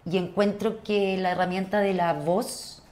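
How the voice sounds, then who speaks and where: A woman's voice: medium pitch, steady tone, a little slow, darkish tone. A Chilean woman speaking informally and colloquially as a guest in a casual interview. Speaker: actress, podcast guest.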